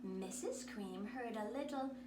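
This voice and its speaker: A woman reading a children's story aloud in a theatrical, expressive way. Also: medium pitch, unhurried. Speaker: drama teacher reading a picture book aloud. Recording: roomy, distant mic, noisy background.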